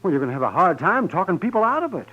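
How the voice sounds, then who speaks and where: An American actor playing an old, chatty frontier town doctor in a 1950s radio western, raspy, folksy and fretful. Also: male voice, high-pitched, sing-song, racing, pushed and bright, exaggerated voice. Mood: fretful, gossipy. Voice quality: raspy, husky. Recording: muffled 1950s radio recording.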